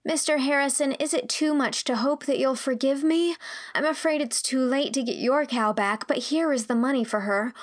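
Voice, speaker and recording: An earnest teenage storybook heroine, voiced by a woman reader, with a fairly high voice. She talks politely and impulsively, as if pouring out a confession. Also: normal speed, natural voice. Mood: mournful, apologetic. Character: earnest, impulsive sixteen-year-old girl, contrite and chatty. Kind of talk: audiobook character dialogue.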